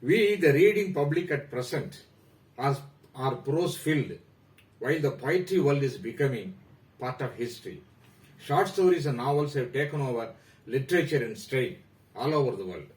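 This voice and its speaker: A man with an Indian accent, presenting a prepared talk about a novel in a formal, measured way that trails off at the ends of phrases. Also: mid-range voice, lively intonation, echoey room, recorded from a distance, rough recording. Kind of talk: reading a prepared literary talk.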